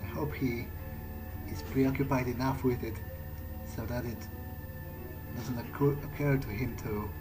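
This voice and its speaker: A man's voice: medium pitch, steady tone, a little slow, long pauses, low-quality recording. A man giving casual, improvised video game commentary in a hesitant, wry, thinking-aloud manner. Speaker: let's play streamer playing in character. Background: game music.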